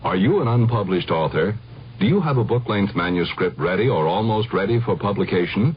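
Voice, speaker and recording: A man's voice: deep voice, animated, fairly quick, a little hiss or hum. An American man reading a scripted radio advertisement as an announcer. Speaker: commercial announcer. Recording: muffled vintage radio broadcast.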